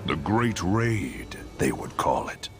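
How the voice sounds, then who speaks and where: An actor voicing a grim male Viking narrator, recalling war in a grave, measured way for a game cutscene. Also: rumbling low, very animated, music in the background, heavily acted. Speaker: story narrator.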